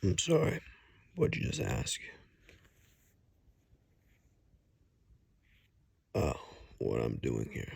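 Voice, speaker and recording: A man playing a calm, wry forest ranger in a hushed ASMR roleplay, speaking slowly in a breathy, gravelly whisper with dry understatement. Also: a bass voice, exaggerated voice. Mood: wry, relaxed. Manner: slow, hushed, dryly understated.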